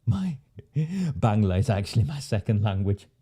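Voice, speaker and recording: A man's voice: on the low side, big pitch swings, unhurried, mellow, slightly rough. A man from Oldham gives a political commentary monologue. He works through his points methodically, repeating them, asking rhetorical questions, and adding dry sarcastic asides. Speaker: local campaigner and commentator.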